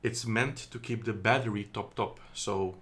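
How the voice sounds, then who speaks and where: A man with a somewhat low voice talks through a product review in an easy, unscripted way. He is from the Netherlands and fills pauses with ums, often correcting himself mid-sentence. The sound is a phone recording in an indoor room. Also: fairly even intonation, fairly bright tone. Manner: chatty, hesitant, many ums and self-corrections. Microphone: phone camera.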